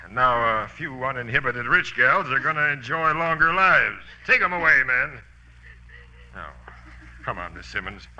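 Gruff american cop captain, forceful sharp voice, old radio drama, dull noisy recording. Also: male voice, medium pitch, animated, moderate pace. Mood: triumphant. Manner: blunt, clipped, slangy. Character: gruff, skeptical male police captain. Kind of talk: 1940s radio drama acting.

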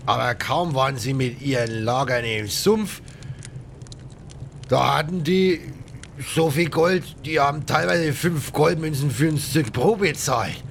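Man as game pirate, gravelly, chatty, coarse, aggrieved, colloquial storytelling, faint background rumble. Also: measured pace. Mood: gruff, aggrieved. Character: rough, chatty male pirate with grudges against bandits. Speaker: reader of a game audio drama.

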